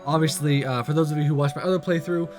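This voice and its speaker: A man's voice: fairly high pitch, steady tone, quick pace. A man hosting a let's play, giving casual commentary and reading narration from a visual novel. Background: music.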